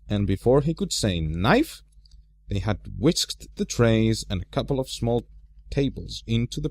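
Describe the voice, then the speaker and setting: A man narrating a storybook as an amateur reader, with an expressive, theatrical delivery. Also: on the low side.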